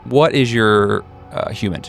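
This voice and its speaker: A man narrating a tabletop horror game as game master, describing scenes in a measured, deliberate way. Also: lowish voice, gravelly, faint music behind.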